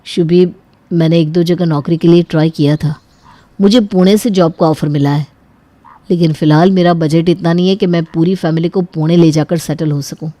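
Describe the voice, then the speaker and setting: A woman voicing a worried, practical young husband who sounds resigned, in an animated moral story. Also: lowish voice, moderate pace, no echo, lightly acted. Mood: troubled.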